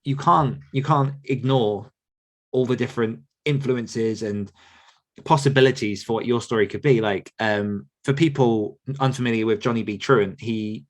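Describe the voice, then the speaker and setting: A man in his thirties talks loosely through listener questions on a podcast. His voice sits at an everyday middle pitch. He wanders, circles back and restarts his thoughts, and laughs easily. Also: normal speed. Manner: relaxed, rambling with fillers and restarts, laughs often. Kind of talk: casual chat.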